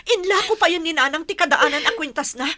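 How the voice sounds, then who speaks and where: A woman's voice: extremely high pitch, expressive, fast talker, pushed and bright, slight background noise, exaggerated voice. A woman acting a hardworking, strict elder sister in an audio drama, reproachful and emphatic in manner. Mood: furious, hurt. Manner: emphatic, scolding.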